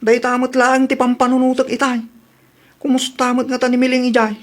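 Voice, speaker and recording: A man's voice: extremely high pitch, monotone, fairly quick, a bit bright. A man with an Ilocano accent playing a grumbling husband in a comedy audio drama. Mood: exasperated, complaining.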